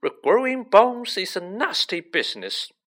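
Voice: medium pitch, big pitch swings, fairly bright tone, voice pushed up, exaggerated voice. A storybook reader voicing a stern, bossy female school nurse with a scolding manner. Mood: disapproving. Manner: brisk, scolding.

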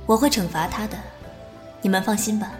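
A mid-pitched young woman's voice from an actress, with faint music behind it. She is composed and authoritative, an audio drama heroine who speaks in calm, brief, measured sentences. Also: close to an everyday voice. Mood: cool, resolute. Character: composed, authoritative young mother and senior sister. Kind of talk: modern audio drama acting.

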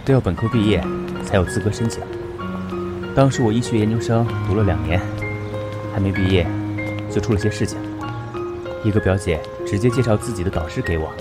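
Man acting low-voiced, composed young lecturer, music underneath. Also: close to an everyday voice. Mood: composed, reflective. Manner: calm, measured, polite. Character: soft-spoken young male law lecturer, courteous, composed, caring. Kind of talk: Mandarin audio drama acting.

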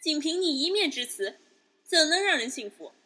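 A woman playing a haughty, jealous imperial concubine in a Chinese palace radio drama, loud, emphatic and accusing. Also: sits high, very animated, moderate pace, full character voice.

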